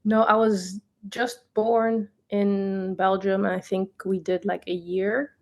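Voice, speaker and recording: A young woman guest who grew up in Tanzania talks through her past in a calm, measured way. Her voice sits in a middle range, and she laughs lightly now and then. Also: mid-range voice. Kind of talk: relaxed conversational storytelling.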